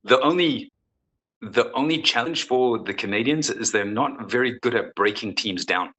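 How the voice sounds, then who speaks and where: A man's voice: medium pitch, moderate pace, pushed and bright, rough recording. A man chatting as a football coach in a sports interview, emphatic and repeating his phrases. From South Africa. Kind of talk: casual sports interview chat.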